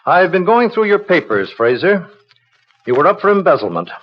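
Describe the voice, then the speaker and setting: A brisk, official parole officer in a 1950s radio crime drama. His delivery is clipped and businesslike, with animated pitch. The sound is muffled, like an old broadcast. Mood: firm, businesslike.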